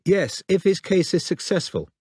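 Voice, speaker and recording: A man's voice: sits high, lively intonation, moderate pace, darkish tone, breathy edge, no room sound, pitched above the performer's own voice. A young woman putting on a polite, professional lawyer's manner, choosing each word with care, voiced by a man. Her voice is lifted a little above his own, and the sound is dry and close-miked. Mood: careful.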